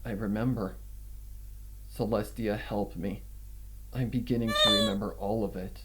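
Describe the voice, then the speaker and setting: A man's voice: fairly low pitch, steady tone, moderate pace, very noisy, close to an everyday voice. A man reading a horror fan fiction as a frightened, guilt-ridden female pony, in a shaky, dramatic manner. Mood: guilty, haunted. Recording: music under the reading.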